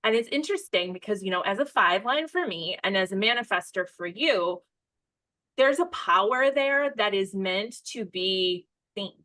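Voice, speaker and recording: A woman's voice: mid-range voice, expressive, moderate pace, a bit bright, no echo. Woman hosting a podcast, dry sound. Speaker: podcast host. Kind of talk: casual podcast conversation.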